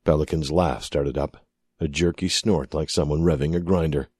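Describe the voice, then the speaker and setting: A man's voice: very deep voice, steady tone, moderate pace, darkish tone, husky, no room sound, clean studio sound. A man narrating a piece of fiction from a script as an audiobook-style reader. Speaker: story narrator.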